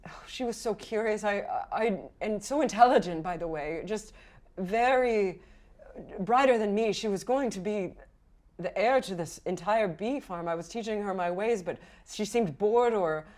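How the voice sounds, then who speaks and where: A woman playing a male beekeeper, a proud and worried father who rambles haltingly, in a tabletop game. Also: medium pitch, a little mellow, close to an everyday voice. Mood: wistful, worried. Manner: halting, rambling, self-interrupting.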